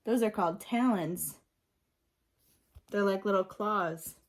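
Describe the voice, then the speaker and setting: A woman reading a picture book aloud like a teacher, expressively, pausing to ask and explain things simply for young children. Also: mid-range voice, a little hiss or hum. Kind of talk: read-aloud storytelling mixed with simple questions and explanations.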